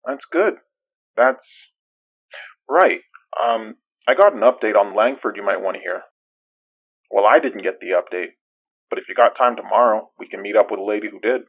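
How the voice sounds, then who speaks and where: A man's voice: lowish voice, moderate pace, pauses often, dry recording, phone-quality sound. An actor playing a guarded, businesslike man who speaks curtly and with reserve in an audio drama. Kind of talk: naturalistic audio drama acting.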